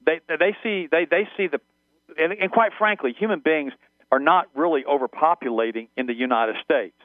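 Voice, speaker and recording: A man's voice: fairly high pitch, moderate pace, dry recording. A man whose first language is German, a guest on a radio call-in interview, rambling and often repeating words and restarting. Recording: tinny phone line, dry.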